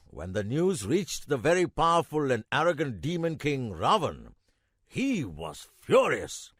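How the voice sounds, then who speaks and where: A man playing a kindly old grandfather in a children's cartoon, narrating a legend in a measured, expressive way with emphatic pauses. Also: fairly high pitch, no room sound, clean studio sound, heavily acted.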